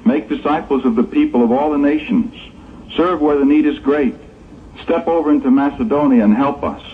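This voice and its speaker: A man's voice: on the high side, normal speed. A man narrates in a formal, emphatic, sermon-like manner. The sound is narrow and phone-like, an old tape recording with faint noise behind his earnest delivery. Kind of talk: scripted religious narration, sermon-like.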